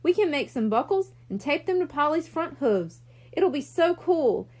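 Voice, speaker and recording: A woman voices an eager young boy planner in a children's audiobook. He sounds high and lively, sensible and assertive as he explains. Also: moderate pace. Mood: enthusiastic.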